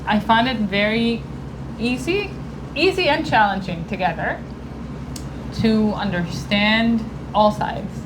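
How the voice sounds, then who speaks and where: A woman from Israel giving a public talk, speaking earnestly and often pausing to search for the right words. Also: medium pitch. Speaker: guest speaker. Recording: noisy room recording.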